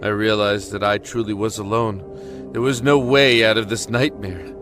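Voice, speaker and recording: A man plays a young prisoner haunted by madness in an audio drama. His low voice tells the tale with solemn, deliberate intensity over background music. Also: steady tone, a bit bright, lightly acted. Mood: grieving, broken, then desperate. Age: young adult. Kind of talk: dramatic first-person audio drama monologue.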